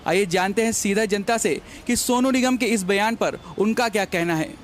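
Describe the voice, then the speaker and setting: A man's voice: high voice, moderate pace, a little hiss or hum. A man with an Indian accent working as a news reporter, delivering a scripted introduction to viewers.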